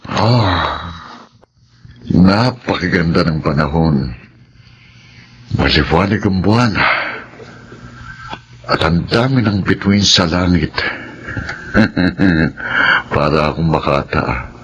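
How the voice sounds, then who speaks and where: A man's voice: deep voice, slow pace, long pauses, fairly bright tone, husky, lo-fi sound, exaggerated voice. A Filipino man playing a restless, lecherous funeral worker in a radio horror drama. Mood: brooding, lustful. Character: restless lecherous male funeral worker craving dead women.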